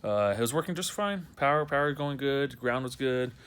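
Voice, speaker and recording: A man's voice: mid-range voice, animated, normal speed, fairly bright tone, smooth. A young man talking in a casual, unscripted how-to vlog, rambling and repeating himself in a conversational way. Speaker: amateur video maker showing a car project.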